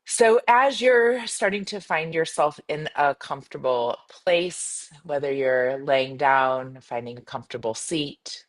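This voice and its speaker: Woman guiding a slow, soothing meditation. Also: extremely low pitch. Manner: soothing, unhurried, gently instructing with pauses. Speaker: meditation guide, yoga teacher. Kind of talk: guided meditation.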